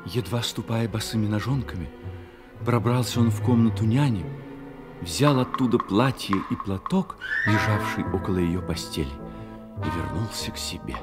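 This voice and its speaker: A man narrating a literary radio drama as an expressive, measured storyteller. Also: fairly low pitch, over music, lightly acted. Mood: hushed suspense. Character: storyteller of a boy's secret adventure.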